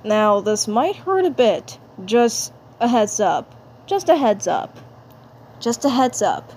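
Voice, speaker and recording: A woman voicing a caring male cartoon helper, earnest and reassuring, in a deliberate, repetitive delivery. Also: a little high, very animated, darkish tone, heavily acted. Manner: deliberate, repeats phrases. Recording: faint background noise.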